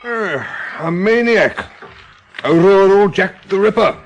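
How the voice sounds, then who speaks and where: An opinionated gentleman of a radio play, fond of his own theories. His voice sits fairly high for a man and swoops theatrically, with a pompous, insistent edge. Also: animated, slow pace, lo-fi sound, faint music behind, exaggerated voice. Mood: eager, theorizing. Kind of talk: radio drama acting.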